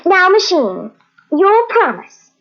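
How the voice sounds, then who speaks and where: A woman's voice: extremely high pitch, sing-song, takes it slowly, fairly bright tone, full character voice. An actress playing a brassy, jealous young woman who commands and coaxes, in old-time radio drama style. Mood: bossy, bargaining. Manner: commanding, cajoling, wisecracking. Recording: muffled old radio recording from cassette.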